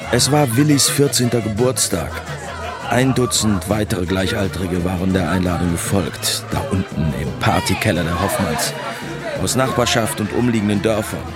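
A man acts a middle-aged radio drama narrator looking back on his youth. His voice is low and his delivery measured and literary, wistful with a sardonic edge of dread. Music plays under the studio recording. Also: over a noisy crowd, close to an everyday voice.

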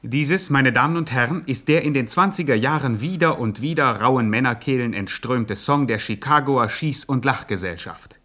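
Man as a pompous, mid-pitched radio chronicler. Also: moderate pace, few pauses, fairly bright tone, natural voice. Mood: mock-solemn. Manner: formal, pompous announcing. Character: pompous mock-serious male chronicler of gangster history. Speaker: narrator. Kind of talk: mock-documentary scripted narration of a satirical radio drama.

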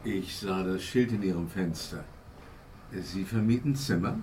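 An actor playing a genteel, weary and odd gentleman scientist in a radio drama, formal, courteous and deliberate. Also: deep voice, a little hiss or hum. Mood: polite, reserved. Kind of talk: German radio drama acting. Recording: roomy binaural interior.

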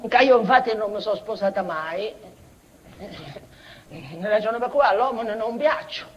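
An actress playing an unhinged, childlike former schoolteacher in a rambling tragicomic stage monologue, halting and repeating herself, swinging between outbursts and muttering. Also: female voice, fairly high pitch, close to an everyday voice. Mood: wistful, halting. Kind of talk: theatrical comic-tragic monologue, broken invented dialect. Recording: dull, noisy theatre recording.

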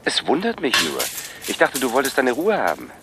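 An actor playing a suspicious, easily hurt boyfriend on a phone call in a naturalistic radio drama. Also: male voice, medium pitch, wildly swooping pitch, brisk, noisy background.

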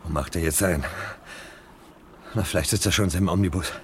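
A male actor playing a weary, shaken fugitive in a radio play, speaking in a muted, halting way. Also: low-pitched, slight background noise, lightly acted. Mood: weary, cold. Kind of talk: subdued radio drama acting.

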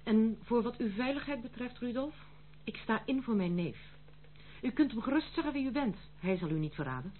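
A woman's voice: a little high, lively intonation, a little fast, close to an everyday voice. An actress in a radio play playing a strong-willed young noblewoman, formal, firm and reproachful. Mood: cold, reproachful. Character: strong-willed, stern young noblewoman protecting her household. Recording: tinny, phone-like old radio play, slight hum.

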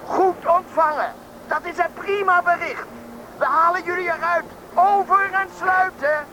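A patient, kindly rescue radio operator in an old radio drama. His pitch is very high and his delivery emphatic, with clear noise behind him. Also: a little slow. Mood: focused, emphatic. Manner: emphatic, repeating calls, kindly. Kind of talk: radio play acting. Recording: noisy old radio play, vehicle rumble.

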